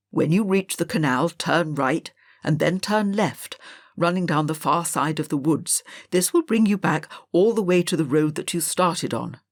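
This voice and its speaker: Storybook schoolmaster, played by a woman narrator: brisk, matter-of-fact instructor, slightly low voice. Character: brisk male schoolteacher giving race instructions.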